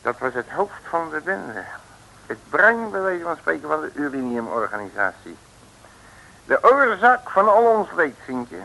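Cocky old-time radio detective, slangy and blustering, bright gravelly voice, old radio recording. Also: male voice, on the high side, sing-song, a little slow, full character voice, rough recording. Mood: emphatic, boastful. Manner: colourful street slang, emphatic, blustering. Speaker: actor in a detective radio serial. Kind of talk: early 1960s comic radio acting.

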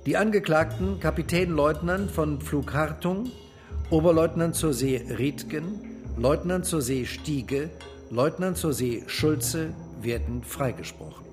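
A man's voice: on the high side, a little slow, faint music behind. A man narrating a radio drama in a documentary style, reading formally, factually and precisely.

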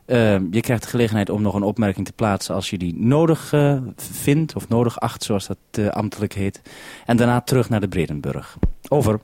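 A man presenting on 1970s radio, making a formal call in a businesslike, measured manner. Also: on the low side, lively intonation, a little mellow, no echo.

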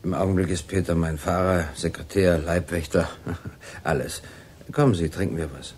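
An actor playing a casual, unruffled underworld acquaintance in a radio drama, offhand in manner, with a deep, husky voice. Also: male voice, steady tone, moderate pace, a little mellow.

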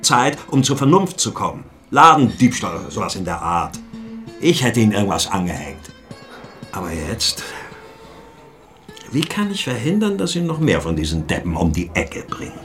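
An actor playing a shrewd, ailing police inspector in a radio drama, sardonically polite, knowing and insinuating. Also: male voice, lowish voice, sing-song, normal speed, a bit bright, faint music behind. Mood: grave, insinuating. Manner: courteous, knowing, wry, insinuating.

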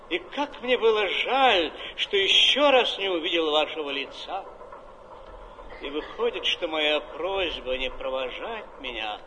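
A man's voice: high-pitched, pushed and bright, heavily acted. An actor playing a melancholy aging man of letters in love, reciting with heartfelt, theatrical declamation in a radio drama. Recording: noisy, hissy radio play recording.

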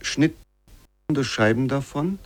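Slow, solemn male literary reciter. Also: mid-range voice, faint music behind. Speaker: reader of literary quotations.

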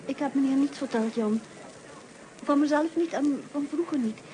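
A woman's voice: high-pitched, moderate pace, warm tone, natural voice. An actress playing a candid, teasing married woman and former school troublemaker in a radio drama. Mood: composed. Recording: slightly noisy old radio play.

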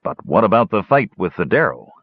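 A man voicing a hot-tempered male hill dwarf who mutters and grumbles in a low voice. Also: sing-song, brisk, no room sound. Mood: angry. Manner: gruff, muttering. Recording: dull lo-fi dry recording.

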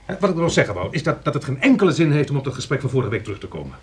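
A man's voice: medium pitch, very animated, racing, slightly rough. An actor in a Dutch radio play, playing a stubborn, dismissive lawyer who lectures and insists on his point. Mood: insistent. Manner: insistent, sceptical, interrupting, lecturing. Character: opinionated, argumentative male lawyer, dismissive and stubborn. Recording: noisy old radio play recording, clicks.

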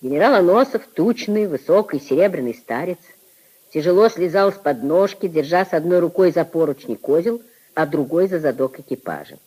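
A woman narrating a literary radio play in a 1950s style, with a husky voice. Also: on the low side, lively intonation, a little fast, mellow, rough recording.

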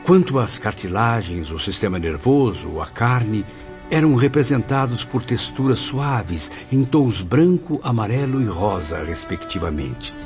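Ator como espírito narrador brasileiro, muito expressivo. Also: male voice, mid-range voice, a little slow. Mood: marveling. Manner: expressive, earnest, wondering. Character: awed male spirit narrator recalling wonders to a listener. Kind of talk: radio drama narration. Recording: dull lo-fi audio under music.

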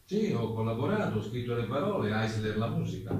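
A man plays a defiant writer facing interrogation in a radio play. He is polite but hesitant and repeats his denials, at an ordinary male pitch. He sounds distant, in a heavily echoing room. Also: very animated, fairly quick, a little mellow, close to an everyday voice. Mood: guarded, evasive. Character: defiant foreign playwright questioned by a hostile committee. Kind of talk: radio theatre dialogue. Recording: very echoey distant room, faint noise.

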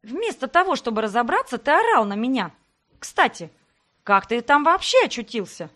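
A woman voicing a hot-tempered, sharp-tongued young heroine who shouts in indignant exasperation. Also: sits high, sing-song, normal speed, heavily acted. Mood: furious.

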